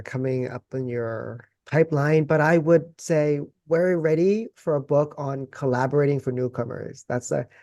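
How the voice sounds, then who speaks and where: A man's voice: on the high side, dark tone, no echo, clean studio sound. A man hosting a podcast interview, speaking in a friendly, playful way to his guest. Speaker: podcast host.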